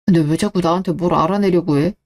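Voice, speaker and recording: A woman's voice: lowish voice, fast talker, a little mellow, voice pushed down, natural voice. A woman playing a touchy, evasive husband in a scripted radio drama. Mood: evasive, irritated.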